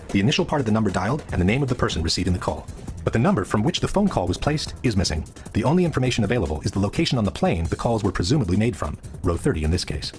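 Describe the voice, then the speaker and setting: A man reading scripted documentary narration in a measured, expository manner, sounding sped up. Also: fairly low pitch, darkish tone. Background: background music.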